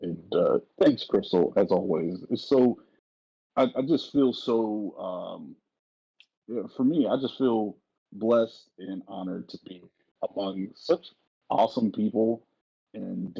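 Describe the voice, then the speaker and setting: A man chatting casually and effusively in a halting, slangy way on a slightly muffled mic. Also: sits low, gravelly, warm tone, breathy edge. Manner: halting, uses y'all and slang. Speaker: voice actor.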